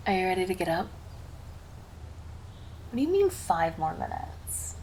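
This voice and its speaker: A cheerful succubus girlfriend, acted out by a woman in an ASMR roleplay. She talks to her partner closely and fondly, with playful teasing. The voice sits at a medium female pitch with a creaky edge, over faint background noise. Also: darkish tone. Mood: sweet, teasing. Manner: doting, teasing, speaking intimately to a partner. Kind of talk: ASMR roleplay, scripted monologue to the listener.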